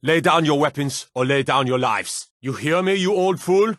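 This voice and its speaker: An actor playing a proud, stern middle-aged warrior lord in a fantasy game, with a commanding, measured delivery. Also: male voice, fairly high pitch, a bit bright, dry recording, studio quality, close to an everyday voice. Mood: angry, commanding. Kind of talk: scripted fantasy game dialogue.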